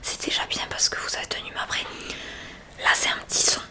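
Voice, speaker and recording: A woman's voice: fairly quick, sharp tone. Whispering woman in an ASMR hearing-exam roleplay. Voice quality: breathy unvoiced whisper. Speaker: ASMR creator playing a hearing examiner. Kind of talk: whispered ASMR medical roleplay, addressing the listener.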